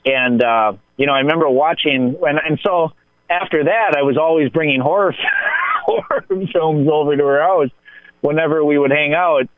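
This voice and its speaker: A man's voice: a little high, big pitch swings, normal speed, no echo, narrow, tinny audio. An American man telling rambling, digressive stories in casual film talk, as a guest on a radio show. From United States.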